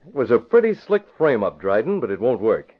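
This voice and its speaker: Confident young radio hero, swinging delivery. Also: male voice, mid-range voice, very animated, slightly rough. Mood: firm, accusing. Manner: brisk, confident, confrontational. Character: bold, cool-headed young male newspaper publisher and sleuth. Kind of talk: old-time radio drama acting. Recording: muffled vintage radio broadcast.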